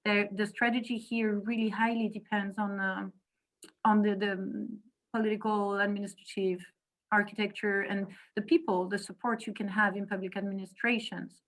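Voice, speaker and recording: A woman with a voice of average pitch speaks on a panel. She explains things in a measured way, sometimes searching for the right phrase. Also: little pitch movement, a bit bright, poor audio. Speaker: panel speaker, foundation director. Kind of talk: considered explanatory answer in a discussion.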